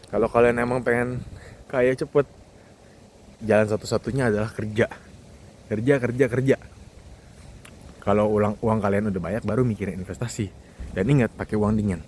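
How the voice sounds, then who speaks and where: A man's voice: lowish voice, animated, normal speed, long pauses, darkish tone. A man gives casual, emphatic advice as a vlog host, repeating key words for stress. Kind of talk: casual monologue giving advice to viewers. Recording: clearly noisy recording with ambient background.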